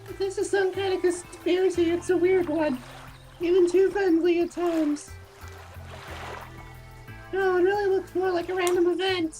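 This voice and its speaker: A performer voicing a puzzled young visual novel hero thinking aloud in a squeaky voice. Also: flat delivery, moderate pace, long pauses, mellow, faint music behind, low-quality recording. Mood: musing.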